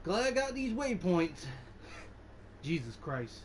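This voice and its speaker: A man's voice: high voice, very animated, slow, noisy background. A man giving casual gameplay commentary, muttering offhandedly to himself. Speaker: gamer. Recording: game music loud behind voice. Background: loud game music.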